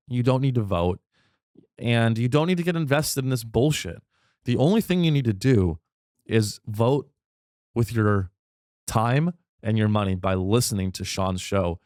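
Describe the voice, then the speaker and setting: A man's voice: no room sound, clean studio sound. A man hosting his own podcast in a rambling, irreverent comedic monologue, swearing freely, his voice wearing thin as he loses it. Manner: rambling, irreverent, swearing, with sudden high pitch jumps. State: losing his voice. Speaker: podcast host.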